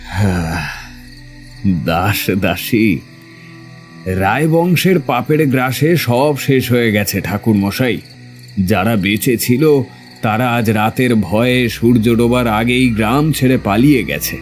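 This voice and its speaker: A man acts out the doomed heir of a cursed landlord family in a horror audio drama. He speaks very slowly and gravely, haunted and afraid, with soft music running underneath. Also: mid-range voice, natural voice.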